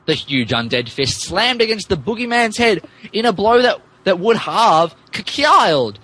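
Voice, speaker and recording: A man's voice: high-pitched, very animated, a little slow, fairly bright tone, husky, low-quality recording. A man narrating a story in an amused, theatrical, mock-dramatic way, as a podcast co-host.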